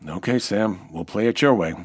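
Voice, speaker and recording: Man acting a low-voiced hard-boiled American nightclub owner. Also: normal speed, slight background noise, lightly acted. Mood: dry, businesslike. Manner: dry, deadpan. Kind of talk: 1940s radio noir acting, scripted narration.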